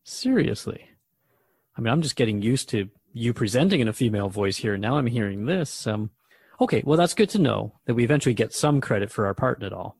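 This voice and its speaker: Gravelly, husky man, lively intonation. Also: mid-range voice, brisk, no room sound. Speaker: radio host in a scripted dialogue. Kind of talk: conversational radio drama dialogue, questioning.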